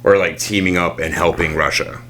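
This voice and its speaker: A man with a fairly low voice hosts a loose comedy podcast chat. He rambles, circles back on his own sentences and breaks into laughter often. He's speaking into a podcast mic. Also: steady tone, a little fast, sharp tone. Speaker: podcast host. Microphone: podcast microphone.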